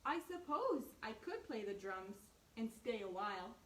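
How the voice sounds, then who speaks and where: A woman's voice: a little high, big pitch swings, a little slow, a bit bright. A woman voicing a big, clumsy, friendly male bear from a storybook, with bellowing roars. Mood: eager. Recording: echoey room, far from mic.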